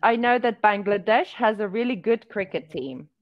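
Lively woman tutor on a video call. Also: mid-range voice, normal speed. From South Africa. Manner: patient, encouraging, asks simple questions. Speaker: online English tutor. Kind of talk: casual video-call conversation practice. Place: indoor room. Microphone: headset on a video call. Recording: muffled video-call audio.

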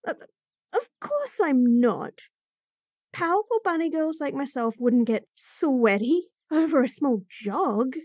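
A woman's voice: sing-song, slow, darkish tone, no room sound, studio quality, full character voice. Woman voicing a stammering flustered bunny girl. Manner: stammering, squeaky, nervous giggles. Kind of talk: roleplay audio drama.

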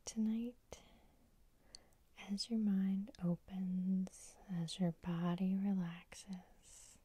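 A woman whispering a guided sleep hypnosis in a very slow, even, monotone hush. Her breathy whisper is warm and mellow, recorded dry and close with no room sound. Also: mid-range voice, no echo. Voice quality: soft whisper. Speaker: ASMR hypnosis host.

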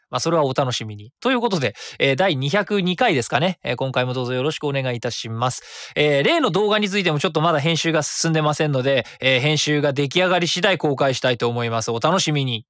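A man's voice: a little high, lively intonation, fast talker, few pauses, a bit bright, no room sound, studio quality. A man hosting his own web radio show, chatting and reading listener comments in a rambling way with many fillers.

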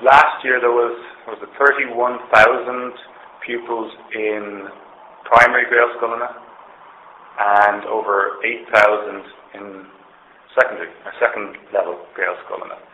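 A man's voice: lowish voice, level tone, a little slow. A man lecturing on sociology, explaining his argument step by step and often correcting himself mid-sentence. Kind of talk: university lecture. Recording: roomy, dull lecture hall recording with faint hiss.

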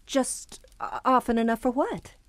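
A woman's voice: on the high side, big pitch swings, a little slow, dry recording. A woman playing an anxious, suspicious young honeymooning wife in an audio drama. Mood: uneasy, polite. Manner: hesitant, anxious, insistent.

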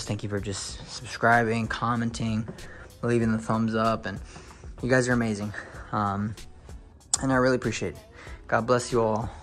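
A young man with a fairly low voice narrates a walkthrough of a big empty house, recorded on a handheld phone. He talks casually to his viewers, full of fillers and excited exclamations. Faint music plays under him. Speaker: real estate video host. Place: inside a large empty house.

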